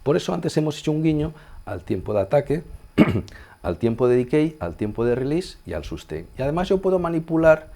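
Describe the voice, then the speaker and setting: A man's voice: a little high, sing-song, brisk, dark tone. A man with a Castilian accent from Spain explaining gear step by step in an informal, conversational tutorial, often checking in with his listeners. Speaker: sound engineer demonstrating a synthesizer. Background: synthesizer sounds being demonstrated.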